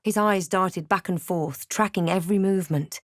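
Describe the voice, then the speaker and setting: A woman playing a wry, wary spellcasting adventurer in a video game, speaking in a measured, dramatic and dry manner. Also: fairly low pitch, no echo, lightly acted. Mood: hushed narration. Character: snarky adventurer heroine, wary, wry, spellcasting fantasy protagonist. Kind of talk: video game voice lines, remarks and first-person narration.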